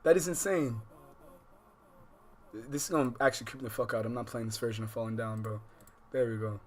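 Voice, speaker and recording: A man chatting casually as a livestream host, animated, with slangy, profane speech and rambling unfinished thoughts. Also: medium pitch, brisk. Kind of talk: casual livestream chat, reacting and addressing viewers.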